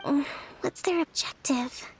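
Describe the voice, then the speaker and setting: Composed, high-voiced young strategist. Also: female voice, gravelly, a little hiss or hum. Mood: composed, thoughtful. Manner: measured, formal phrasing. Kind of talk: video game voice acting.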